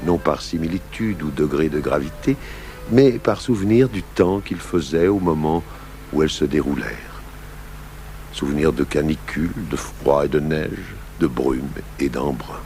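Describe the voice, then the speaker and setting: An actor playing a seasoned police commissioner who narrates an old case in a measured, reflective way for a radio drama. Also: male voice, warm tone, heavily acted. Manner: measured, reflective, literary phrasing. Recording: hissy 1980s radio recording, low hum.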